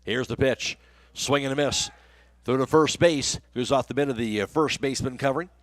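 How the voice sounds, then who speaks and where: A man's voice: medium pitch, moderate pace. A man working as a radio play-by-play announcer, calling baseball and reading sponsor spots. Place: live radio broadcast from a ballgame.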